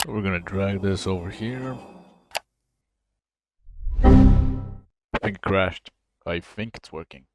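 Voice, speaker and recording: A man's voice: sits low, slow pace, long pauses. A man talking casually and off the cuff in short remarks. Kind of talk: casual chat. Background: loud music.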